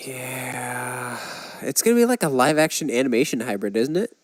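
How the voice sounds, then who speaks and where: A man's voice: mid-range voice, expressive, normal speed, no echo. A man co-hosting a podcast, talking casually in a conversational way. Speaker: podcast co-host. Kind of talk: casual chat about movies and games.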